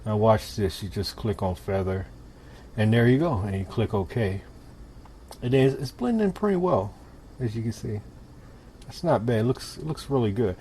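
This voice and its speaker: Low-pitched man narrating a casual tutorial, noisy recording. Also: moderate pace, pauses often. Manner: informal, step-by-step, talking while demonstrating. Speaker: tutorial presenter. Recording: clear steady background noise.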